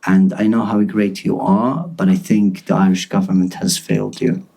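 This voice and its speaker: A man's voice: low voice, little pitch movement, normal speed, gravelly, warm tone. A man in his twenties from Gaza, a guest journalist speaking earnestly at a live panel, often repeating words as he searches for them. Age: 20s.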